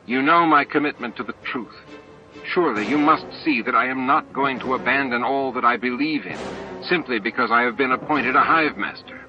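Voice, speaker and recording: A man's voice: mid-range voice, moderate pace, voice pushed up, lightly acted. Guy doing an earnest bug alien leader, pleading and reasoning, scifi audiobook, kinda dull sound w music. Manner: pleading, strained patience, reasoning. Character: earnest, idealistic insect-like male alien hive master. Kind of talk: dramatized audiobook reading.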